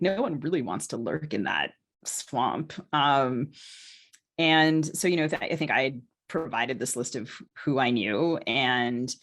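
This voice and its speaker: A woman in a casual podcast conversation, speaking as a novelist and co-host in a hesitant, circling way with many qualifications. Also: very deep voice.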